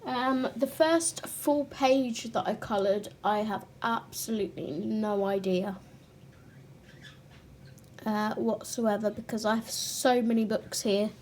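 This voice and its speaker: Croaky young woman chatting slowly to camera, a bit out of breath, faint hiss behind. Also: on the high side, a little mellow. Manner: reflective, stops to clear her throat. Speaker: colouring channel host. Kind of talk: casual chat to camera.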